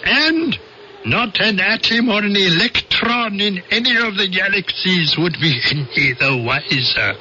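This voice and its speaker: Slow, high-voiced lofty alien. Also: male voice, lively intonation, pushed and bright, heavily acted. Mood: menacing. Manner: formal, pompous, deliberate speech. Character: stern, proud, lofty male alien visitor from space. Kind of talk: old-time radio drama acting. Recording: dull, noisy old radio recording.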